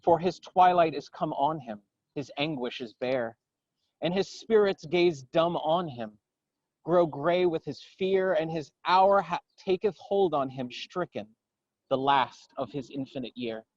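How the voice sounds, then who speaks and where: A man gives a dramatic poetry recitation outdoors, in solemn, declamatory, measured phrases. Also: fairly high pitch, a little mellow, no echo, lo-fi sound. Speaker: poetry reader. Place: outdoors in a garden.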